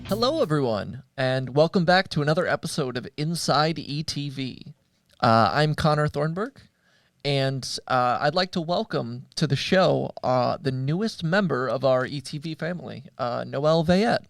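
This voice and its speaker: A man hosting a podcast in a casual, conversational way, with halting, hesitant phrasing. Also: fairly high pitch, lively intonation, gravelly, no echo, clean studio sound. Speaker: podcast host.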